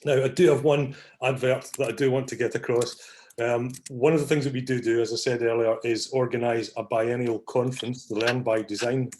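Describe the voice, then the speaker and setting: A man's voice: medium pitch, steady tone, brisk, a little mellow, a little hiss or hum. A man hosting an online academic meetup on a video call, talking casually with many ums and small apologies. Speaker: meetup host. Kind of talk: casual online meeting talk.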